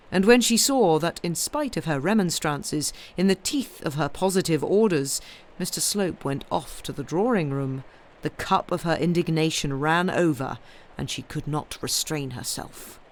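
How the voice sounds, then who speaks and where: A woman reading literary fiction aloud as an expressive audiobook narrator. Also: on the low side. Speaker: audiobook reader.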